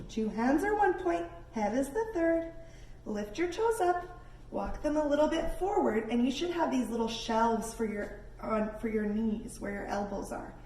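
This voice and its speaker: A woman hosting a kids' yoga lesson, giving casual, encouraging instructions in an animated way made for young children. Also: fairly high pitch. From Canada. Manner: animated, child-directed, addresses listeners as friends. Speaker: children's yoga teacher and host. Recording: roomy echo, distant mic, faint noise.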